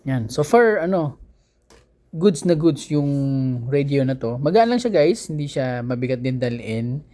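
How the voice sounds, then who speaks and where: A man with a Filipino accent giving a casual product review in a conversational, halting way, often searching for his words. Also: on the high side, animated, mellow. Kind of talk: casual unboxing and review talk.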